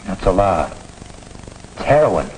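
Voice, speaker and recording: An actor playing a sly young drug pusher in a 1950s educational film, with a coaxing, colloquial delivery. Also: male voice, low voice, very animated, slow, heavily acted. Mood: sly, goading. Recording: old film dialogue, faint noise.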